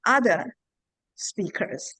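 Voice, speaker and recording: Woman, teacher, halting speech with fillers, dry sound. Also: mid-range voice, sing-song, bright, forceful tone, dry recording. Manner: hesitant, many pauses and fillers. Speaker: Chinese teacher. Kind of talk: sharing in a group discussion.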